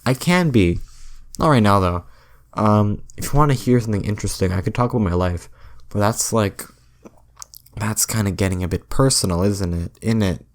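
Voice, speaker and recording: A young man with a slightly low-pitched voice rambles through a solo podcast. He stumbles and restarts his sentences often and delivers his jokes with a dry, self-mocking deadpan. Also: moderate pace. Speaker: podcast host.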